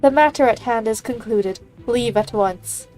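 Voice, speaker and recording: A woman's voice: a little high, measured pace, a little mellow, soft background music, natural voice, low-quality recording. A woman voicing a haughty, ancient female bird immortal from a fantasy game, with pompous, formal, stately phrasing. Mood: indignant, scornful.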